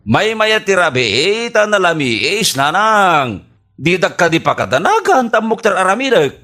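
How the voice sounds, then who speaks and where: Actor playing a high-pitched, excitable Ilocano farmer husband. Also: male voice, moderate pace, heavily acted. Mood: stubborn, protesting. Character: hardworking, stubborn rural husband and farmer, sly and amorous. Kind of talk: Ilocano radio comedy drama acting.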